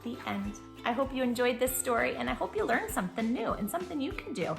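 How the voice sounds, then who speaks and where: A woman host reading a picture book aloud to young children in an expressive, encouraging way, speaking straight to the listener. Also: on the high side, quick pace, creaky, over music.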